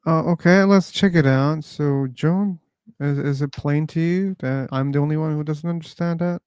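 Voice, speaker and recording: A man hosts a live talk stream, chatting casually and laughing easily as he trips over his words. His voice is smooth and slightly high. The sound is close and dry. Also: moderate pace, darkish tone, no echo. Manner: laughs often, restarts words, easygoing. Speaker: host of a live discussion stream.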